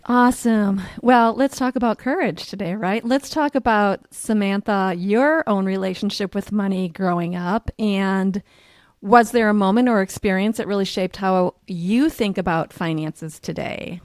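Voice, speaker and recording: A woman's voice: medium pitch, a little slow, darkish tone, no echo, clean studio sound. A woman hosting a podcast interview, welcoming her guest and responding with enthusiastic, encouraging remarks. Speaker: podcast host.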